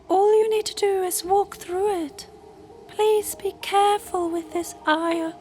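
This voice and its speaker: Woman as a very high, slow, solemn moon spirit. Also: darkish tone. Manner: formal, measured, instructing. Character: gentle, solemn celestial moon spirit, elder sister. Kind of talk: fantasy audio drama acting. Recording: music bed under voice.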